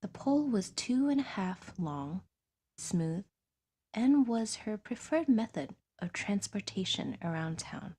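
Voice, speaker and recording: A woman's voice: mid-range voice, big pitch swings, unhurried, warm tone, slightly rough. A woman reading a science fiction story as a scripted audiobook narration.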